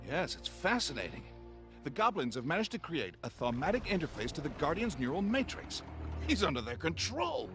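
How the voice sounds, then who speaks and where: An actor playing an eager, learned older male scientist in a video game, with an excitable, precise, bookish manner. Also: a little high, moderate pace. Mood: fascinated. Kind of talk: video game cutscene acting. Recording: loud music over the voice.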